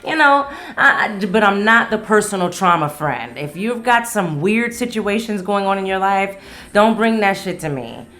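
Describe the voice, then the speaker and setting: A woman's voice: medium pitch, lively intonation, normal speed, a bit bright. A woman hosting a solo podcast in a casual, candid, playful way, swearing freely. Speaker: podcast host.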